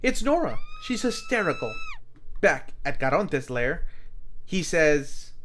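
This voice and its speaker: A man's voice: a little high, big pitch swings, slow pace, a bit bright, soft background music. A man hosting a film review, reading a scripted plot recap and giving the dialogue dramatic emphasis.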